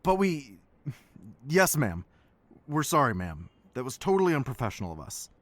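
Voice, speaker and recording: Young-ranking male cop, mid pitch, very varied intonation, polite, deferential, audio drama. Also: big pitch swings, moderate pace, a bit bright, dry recording. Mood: curious, sheepish. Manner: procedural, deferential. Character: polite, eager junior male patrol officer. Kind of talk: naturalistic audio drama acting.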